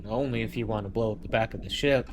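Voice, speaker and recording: A man playing a steady, practical male ship engineer who speaks in a dry, matter-of-fact way. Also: lowish voice, quick pace, slight background noise, close to an everyday voice.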